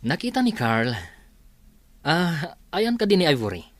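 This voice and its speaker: A man's voice: on the high side, sing-song, measured pace, dry recording. An Ilocano-accented man playing the boss's skeptical grown son, a manager, in a radio drama. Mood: puzzled. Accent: Filipino (Ilocano).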